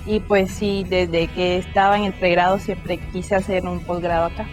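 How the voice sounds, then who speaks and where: A woman's voice: lowish voice, little pitch movement, moderate pace, soft background music. A woman from Colombia with a Colombian accent, a master's student explaining her research fluently. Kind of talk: interview testimonial.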